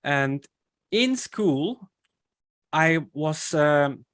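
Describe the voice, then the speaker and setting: A young man with a Swiss German accent, a guest in an interview who explains his background haltingly, with pauses and restarts. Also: on the high side, expressive, fairly bright tone. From German-speaking Switzerland. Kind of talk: casual interview talk.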